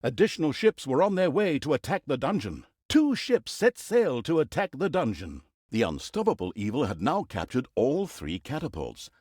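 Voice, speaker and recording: A man's voice: mid-range voice, very animated, moderate pace, few pauses, darkish tone, no echo, clean studio sound. A man voicing a wry, theatrical storyteller for a fantasy game, with an arch and droll manner. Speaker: game narrator. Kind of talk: comic fantasy storybook narration.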